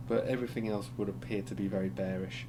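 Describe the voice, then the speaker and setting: A man with a croaky voice from being unwell, talking through market charts in a rambling, hesitant way with many ums and restarts. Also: on the low side, quick pace. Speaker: crypto chart analyst. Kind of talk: casual screen-share market commentary. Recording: steady background hum.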